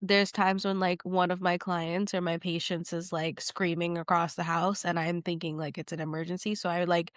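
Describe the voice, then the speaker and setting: A woman's voice: fairly low pitch, level tone, moderate pace, hardly any pauses, no echo, studio quality. A woman getting over a cold, sounding nasal, chatting as a podcast guest and rambling with lots of fillers. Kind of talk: casual conversation.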